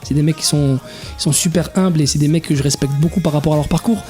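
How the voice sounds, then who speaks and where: Young male DJ guest, twenties, casual and halting, faint music in the background. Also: fairly high pitch, mellow. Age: 20s. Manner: hesitant, many restarts and fillers. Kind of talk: casual interview chat.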